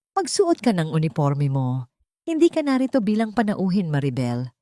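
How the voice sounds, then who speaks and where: A woman's voice: fairly low pitch, warm tone, no echo, studio quality. A woman with a Filipino accent voicing a cruel, contemptuous army general husband in a story, with biting, commanding delivery. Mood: cold, commanding.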